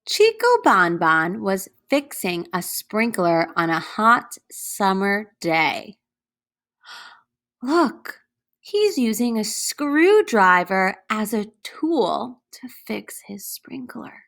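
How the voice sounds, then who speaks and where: Bright-voiced woman reading a storybook slowly. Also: mid-range voice, big pitch swings. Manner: deliberate, pausing to question young listeners. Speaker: teacher reading aloud to children. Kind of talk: read-aloud storybook narration.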